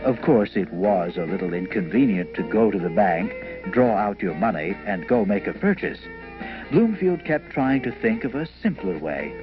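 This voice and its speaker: A man's voice: lowish voice, very animated, normal speed, dull audio. A man narrating a scripted children's storybook tale on an old educational film soundtrack. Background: music.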